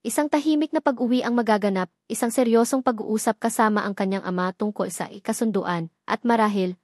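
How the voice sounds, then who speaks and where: A woman narrating a romance story in a steady, dramatic storytelling manner. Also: a little high, no echo, studio quality.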